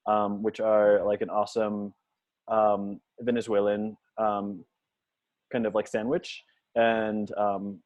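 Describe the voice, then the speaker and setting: A young man from the D.C. area introducing himself on a panel in a relaxed, chatty way. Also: on the low side, slow pace, dry recording. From Washington, D.C. area, USA. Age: young adult. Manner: relaxed, chatty, self-deprecating jokes. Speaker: panelist, service member. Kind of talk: casual introduction on a video call. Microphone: video call. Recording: dull, dry video call audio.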